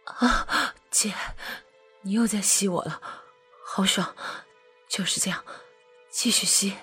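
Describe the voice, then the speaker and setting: A woman voicing an eager younger brother, speaking in a breathy, hushed half-whisper. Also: slow, soft background music, exaggerated voice. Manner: low murmuring, half-whispered.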